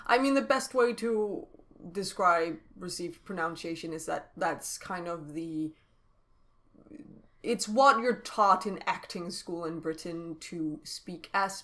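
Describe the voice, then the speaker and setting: Danish woman, non-native English, bright chatty co-host, laughs a lot. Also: fairly low pitch. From Denmark. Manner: chatty, laughs often, explains at length. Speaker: podcast co-host. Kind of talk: casual chat.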